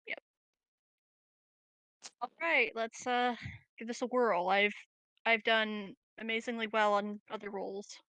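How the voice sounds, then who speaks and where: Bright-voiced woman playing a tabletop game. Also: fairly high pitch, steady tone, slow, long pauses, poor audio. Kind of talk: casual chat.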